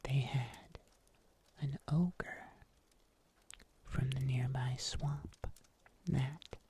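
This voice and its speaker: A performer voicing a calm, seasoned monster huntsman in a soft, breathy ASMR whisper, telling tales slowly and deliberately. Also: mid-range voice, noisy background. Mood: calm, storytelling. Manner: slow, deliberate, hushed storytelling with long pauses.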